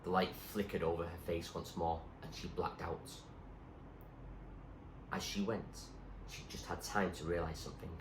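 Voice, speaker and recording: A man reading a story aloud in an expressive, suspenseful narrating style. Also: low voice, normal speed, pauses often. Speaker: reader, teacher. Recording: echoey room with faint background noise.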